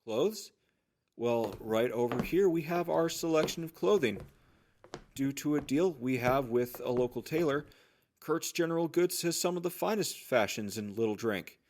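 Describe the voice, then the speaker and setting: An eager small-town trader of the wasteland, played by a man, talks in obliging sales patter. His voice sits at an ordinary middle pitch, as acted for an audio drama about life after the apocalypse. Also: lively intonation, normal speed, a little mellow, a little hiss or hum, close to an everyday voice. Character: chatty, obliging male general-store trader in a wasteland town. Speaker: voice actor playing a shopkeeper.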